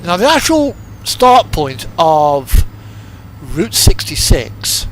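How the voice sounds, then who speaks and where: A man with a slightly high voice and very varied intonation gives casual gameplay commentary. He sounds like he is thinking aloud in an unhurried way. A faint vehicle hum from the game sits behind his voice. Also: big pitch swings, rough recording. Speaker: video game player and host.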